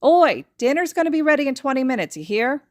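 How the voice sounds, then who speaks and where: A feisty mother, acted out by a woman narrator, with a raised pitch and a brisk delivery. She is a short-tempered woman in a storybook tale. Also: very animated, no room sound, clean studio sound. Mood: scolding. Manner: brisk, scolding.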